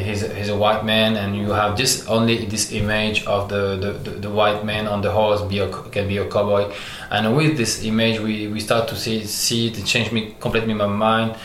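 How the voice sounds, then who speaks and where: A man from Algeria who grew up in France, a French speaker talking as an artist guest in an interview, pausing often and searching for English words. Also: on the low side, fairly bright tone. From Algeria, raised in France. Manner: many pauses and restarts, searching for English words. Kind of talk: halting interview answers. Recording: echoey room with faint noise.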